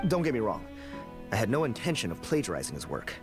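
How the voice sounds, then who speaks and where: A man's voice: fairly low pitch, huge pitch swings, fast talker. An actor voicing a resentful young male researcher in a game, sulky and grumbling. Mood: aggrieved. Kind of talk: game voice acting. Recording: game audio with background music.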